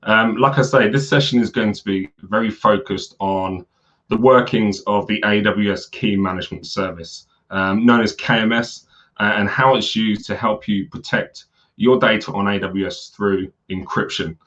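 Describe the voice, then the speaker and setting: A man's voice: fairly low pitch, steady tone, normal speed, fairly bright tone, highs cut off. A man giving a live webinar talk on a video call, hesitant with many repeats and fillers, his voice worn from a cold. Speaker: guest speaker on cloud security.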